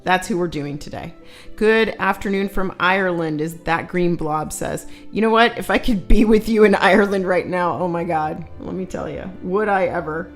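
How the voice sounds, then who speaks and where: A woman's voice: fairly low pitch, normal speed, soft background music. A woman co-hosting a live stream, giggling often and reading viewer comments aloud in a casual chat.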